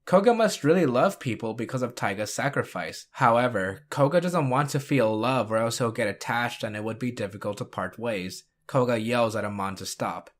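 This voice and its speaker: A man narrates as the host of a retrospective video. His voice has an average pitch for a male. The delivery is scripted, moving between recap and review. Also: lively intonation, normal speed, hardly any pauses.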